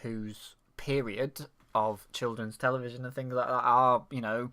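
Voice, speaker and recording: A man's voice: medium pitch, level tone, a little slow, pushed and bright, slight background noise. A man in his thirties co-hosting a casual chat, explaining things and teasing his co-host. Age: 30s.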